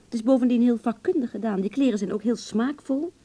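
An actress playing a wry, wounded beautician in love in a radio play, speaking intimately, sardonic and hesitant by turns. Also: female voice, a little high, fast, dark tone, lightly acted. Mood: uneasy, tender. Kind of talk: Dutch radio play acting, intimate dialogue.

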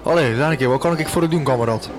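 A man's voice: medium pitch, fast, close to an everyday voice. A man playing a blustering village innkeeper in a comic radio play.

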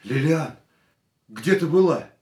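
Jealous, insistent lover, echoey room. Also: male voice, fairly high pitch, animated, slow, darkish tone, soft background music. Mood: questioning, hurt. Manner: insistent, questioning, earnest. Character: jealous, anxious lover, an aging racing driver. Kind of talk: radio play acting.

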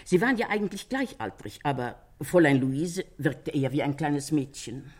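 A woman playing a talkative older Parisian landlady in a German radio crime drama, gossipy but sympathetic, chatting and retelling with a husky voice. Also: sits low, expressive, normal speed, darkish tone. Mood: gossipy, reflective. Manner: chatty storytelling, quotes others animatedly.

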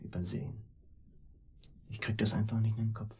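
An actor playing a distraught, guilt-ridden man in a radio drama, speaking haltingly and often in a hushed voice. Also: lowish voice, little pitch movement, slightly rough. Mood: stunned, hushed. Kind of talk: naturalistic radio drama acting.

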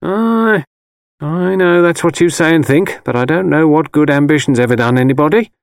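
A man's voice: fairly high pitch, moderate pace, vocal fry, no room sound, studio quality, pitched above the performer's own voice. A man voicing a stern, grey-haired working-class mother, blunt and disapproving, in an audiobook story. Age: older. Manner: blunt, disapproving, dialect turns of phrase.

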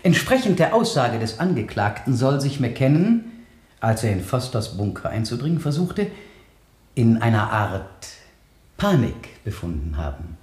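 An actor playing an official, insistent public prosecutor in a radio courtroom drama, speaking formally and emphatically. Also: male voice, medium pitch, big pitch swings, unhurried, a little mellow. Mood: probing, formal. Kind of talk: 1970 German radio drama acting, courtroom questioning. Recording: roomy vintage radio studio sound.

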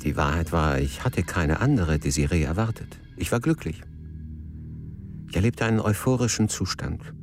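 An actor playing an evasive, courtly middle-aged newlywed husband in a radio drama, speaking formally and a little haltingly. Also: male voice, deep voice, animated, over music. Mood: reflective confession. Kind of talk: radio drama dialogue and confessional narration.

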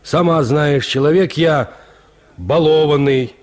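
A man's voice: a little high, slow pace, a little mellow. An actor playing an indifferent, spoiled peasant huntsman in a radio drama, with nonchalant, condescending, folksy speech. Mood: dismissive, self-satisfied. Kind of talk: Russian radio drama acting.

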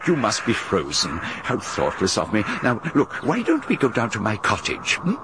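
An actor playing a genial older gentleman with a fussy, chuckling manner in a radio drama. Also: lowish voice, wildly swooping pitch, moderate pace, noisy background. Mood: chatty. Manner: chuckling, fussy, hesitant repeats. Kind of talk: 1930s-style BBC radio drama.

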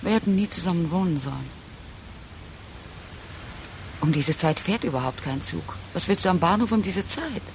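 Disenchanted woman, gravelly creak, weary and frank, radio drama, faint background noise. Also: on the low side, lively intonation, normal speed, pauses often. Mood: reproachful, tender.

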